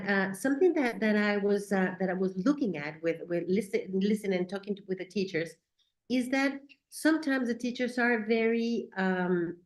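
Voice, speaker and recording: Spanish-accented woman giving a teaching talk. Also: mid-range voice. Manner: repeats words, checks in with "right?" and "okay?". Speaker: teacher trainer. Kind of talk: educational talk. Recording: echoey room.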